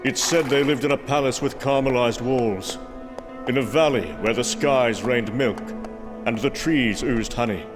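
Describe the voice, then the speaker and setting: An actor playing an earnest, grave warrior prince and god of thunder, speaking formally and solemnly in an audio comic drama. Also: male voice, medium pitch, moderate pace, natural voice. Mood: grim, solemn. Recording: music bed under the voice. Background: music.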